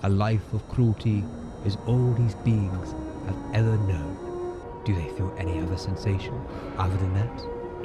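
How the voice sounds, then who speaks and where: A man's voice: on the low side, lightly acted. A man playing a grave narrator of a field report from an alien desert, with solemn, ornate, dramatic delivery. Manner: solemn, ornate, deliberate phrasing. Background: loud music and effects.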